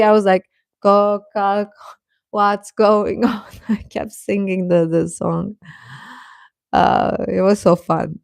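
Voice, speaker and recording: A woman's voice: medium pitch, no echo. Female livestream host, mid-range voice, casual and rambling, giggly delivery, very dry close sound. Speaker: livestream host chatting with viewers. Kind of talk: casual reaction chat.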